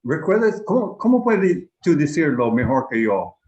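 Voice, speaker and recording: A man with a slightly raised voice talks slowly on a video call. He searches for words and restarts often, speaking a language he is still learning in a small study group. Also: a little high, sing-song, a little mellow, muffled recording. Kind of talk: casual online group discussion.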